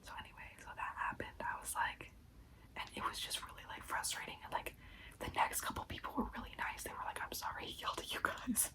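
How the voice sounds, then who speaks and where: A young woman who works a part-time food service job, whispering a story and venting with lots of "like" fillers. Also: fairly quick. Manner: whispering throughout, frequent "like" fillers; exasperated venting.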